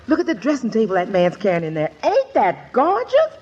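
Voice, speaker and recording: A woman's voice: a little high, wildly swooping pitch, fairly quick, darkish tone, husky, exaggerated voice. An actress playing a nosy, gushing, folksy woman of the household in a 1940s radio comedy. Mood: nosy, admiring. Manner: folksy, gossipy. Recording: muffled 1940s radio recording.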